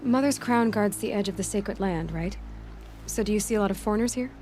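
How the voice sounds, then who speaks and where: An actress playing a determined young huntress who speaks in a direct, practical and sceptical way. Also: female voice, mid-range voice, a little fast, dark tone, a little hiss or hum, natural voice.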